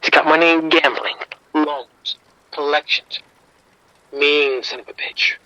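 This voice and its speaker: A man's voice: a little high, fairly even intonation, unhurried, sharp tone, dull audio. An actor playing a streetwise male bookie informant, wry and defensive, talking around the point in an audio drama.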